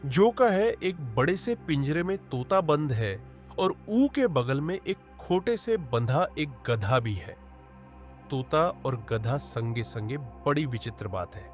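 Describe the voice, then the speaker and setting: A man's voice: medium pitch, sing-song, slow, faint music behind. A man voicing a poor, hardworking young village man who speaks in rustic village speech and exclaims often, in a cartoon story. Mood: astonished.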